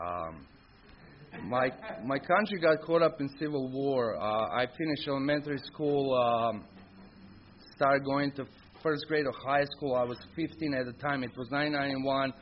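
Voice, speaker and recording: A middle-aged man with a Croatian accent speaks candidly to a recovery meeting at an ordinary male pitch. He tells his own story in short, blunt, informal sentences. The recording is dull and lo-fi, with clear background noise. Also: normal speed. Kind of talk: personal recovery testimony, informal spoken storytelling. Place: live talk at a recovery meeting.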